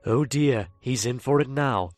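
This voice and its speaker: A man's voice: medium pitch, unhurried, dry recording, soft background music. A man narrating a fan audio drama in a hammy, theatrical way, speaking straight to the audience. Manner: hammy, fourth-wall-breaking storyteller.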